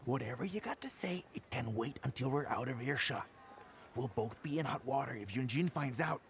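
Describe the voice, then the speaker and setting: An actor voicing a pushy, scornful tabloid editor in a game, hectoring and impatient in an exasperated manner. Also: male voice, medium pitch, animated, a little fast, vocal fry, noisy background. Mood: scolding. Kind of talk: voice-acted game cutscene dialogue.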